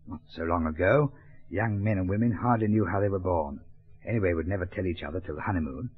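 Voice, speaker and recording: On a muffled 1930s gramophone record, a prudish English gentleman played by a male comedian addresses a public meeting. His upper-class speech is pompous, hesitant and gravely earnest, pitched lower in a comic monologue. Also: quick pace, close to an everyday voice. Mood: earnest indignation.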